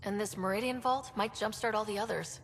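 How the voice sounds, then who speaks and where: A woman's voice: mid-range voice, steady tone, a little fast, fairly bright tone, slight background noise, close to an everyday voice. Confident young woman game hero, voiced by an actress. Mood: casual, upbeat. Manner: direct, assured. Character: determined, confident young human woman explorer-leader. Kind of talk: video game cutscene dialogue.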